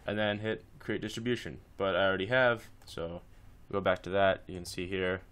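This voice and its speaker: Deep-voiced man giving a casual software tutorial. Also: fairly even intonation, moderate pace, clear, resonant tone. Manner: informal, step-by-step instructing.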